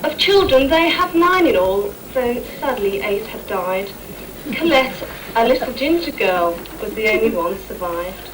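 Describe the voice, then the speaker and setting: A woman's voice: on the high side, animated, unhurried. A woman narrating a stage pantomime in scripted rhyming verse. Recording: distant microphone, clear background noise.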